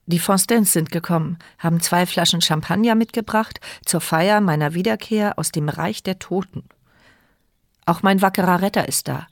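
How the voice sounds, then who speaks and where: A woman's voice: on the low side, moderate pace, dry recording, studio quality, natural voice. An actress voicing the inner monologue of a paralyzed, mute young woman in a radio play, intimate and reflective. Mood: wry.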